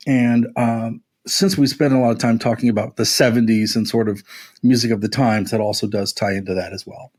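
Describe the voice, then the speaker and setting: A man's voice: medium pitch, steady tone, brisk, darkish tone. A man talking casually and at length as a music podcast panelist, with an enthusiastic, discursive manner.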